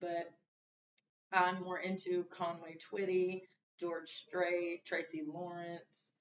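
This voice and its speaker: A young woman gives a relaxed, conversational introduction speech to her class. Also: slow pace. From Louisiana and Arkansas. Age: young adult. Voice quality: deep voice. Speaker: student. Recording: roomy, distant, dull mic with faint hiss.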